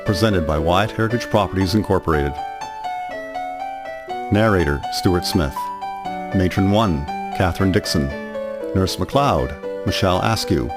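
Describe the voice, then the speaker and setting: A man's voice: deep voice, unhurried, long pauses. A man reading scripted narration for a historical radio drama. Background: instrumental music.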